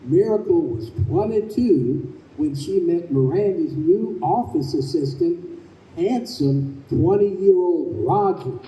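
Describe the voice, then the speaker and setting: Slow, very mellow male narrator. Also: fairly high pitch, expressive. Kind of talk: scripted radio drama narration. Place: convention room stage performance. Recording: echoey room, distant, slightly dull.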